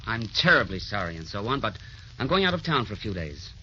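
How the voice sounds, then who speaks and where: An actor playing a glib, evasive young gentleman, breezy but hesitant, in old-time radio drama style. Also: on the low side, very animated, fast, full character voice. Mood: evasive, awkward. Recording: dull, noisy old radio recording.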